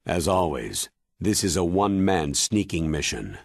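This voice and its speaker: An actor playing a stern military commander briefing an agent in a video game, grave and measured in manner. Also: male voice, sits low, very animated, dry recording, clean studio sound, full character voice. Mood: instructive. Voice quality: husky, weighty. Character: stern, authoritative older military commander giving mission briefing. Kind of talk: dramatic video game cutscene acting.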